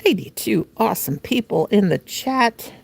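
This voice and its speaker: Gravelly, lively older American woman. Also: mid-range voice, normal speed. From United States. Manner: playful and silly, laughs often, talks to herself. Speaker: livestream host. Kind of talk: casual livestream chat while crocheting.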